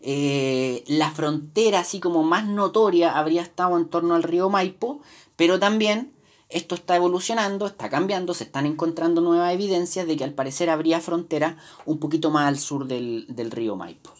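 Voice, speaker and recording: Low-voiced Chilean woman teaching history. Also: a little fast, darkish tone. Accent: Chilean Spanish. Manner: explanatory, many fillers and restarts. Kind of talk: live online history lesson. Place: indoors, teaching from slides.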